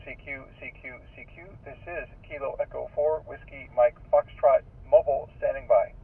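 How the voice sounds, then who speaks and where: Male radio operator, rough-edged voice, formulaic phonetic call-out, narrow phone-like radio playback heard from a distance. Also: medium pitch, fairly even intonation, moderate pace. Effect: radio transmission playback. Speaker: amateur radio operator calling stations. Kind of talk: recorded radio call using phonetic alphabet. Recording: tinny, narrowband radio speaker, far off.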